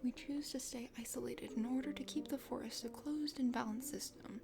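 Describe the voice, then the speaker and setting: A woman voicing a chatty, condescending dragon sister from a forest kingdom in a video game, speaking formally in a soft ASMR whisper. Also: high-pitched, steady tone, brisk, gravelly. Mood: proud, chatty. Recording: music behind the voice.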